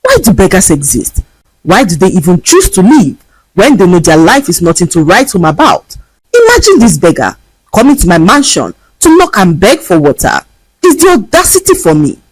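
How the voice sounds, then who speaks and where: A woman voicing a spoiled, arrogant rich young woman who turns desperate and shrill. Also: wildly swooping pitch, normal speed, no room sound, rough recording. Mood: scornful. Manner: shrill, pleading cries.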